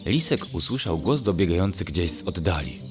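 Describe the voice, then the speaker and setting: A man gently narrating a scripted children's bedtime story in a measured, descriptive way. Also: deep voice, expressive. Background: music.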